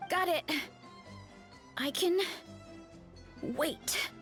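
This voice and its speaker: A woman's voice: very high voice, extremely slow, fairly bright tone, exaggerated voice. A woman voicing a sweet, absent-minded young warrior woman in a video game, dreamy, hesitant and easily distracted. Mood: distracted, apologetic. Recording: faint music behind the voice. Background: faint background music.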